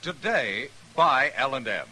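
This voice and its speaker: A man's voice: mid-range voice, expressive, unhurried, bright, forceful tone. A man announcing a 1950s radio commercial in an emphatic, salesmanlike, punchy style. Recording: old radio ad with faint noise.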